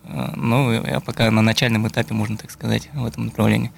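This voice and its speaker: A man's voice: lowish voice, flat delivery, quick pace, vocal fry, a little hiss or hum. A young man from Buryatia, a guest musician, answering interview questions thoughtfully and searching for his words. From Buryatia, Russia. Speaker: guest musician, beatboxer and looper.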